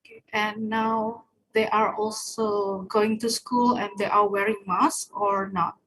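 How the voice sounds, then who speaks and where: A woman's voice: mid-range voice, flat delivery, unhurried, fairly bright tone, a little hiss or hum, rough recording. A woman hosting a webinar over a video call, mostly giving brief acknowledgements and asking short follow-up questions. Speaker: webinar host. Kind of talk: interviewing in a webinar.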